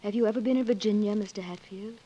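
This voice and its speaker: A woman's voice: mid-range voice, quick pace, dark tone, dull audio, natural voice. A woman playing a genteel, reserved officer's wife, polite and formal, in a 1940s radio western. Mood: polite, guarded. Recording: noisy, hissy 1940s radio recording.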